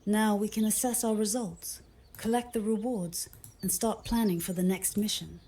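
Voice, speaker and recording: A woman's voice: mid-range voice, fairly even intonation, normal speed, dark tone. Formal synthetic woman AI copilot. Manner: formal, encouraging. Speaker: AI game copilot. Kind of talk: synthetic assistant speech.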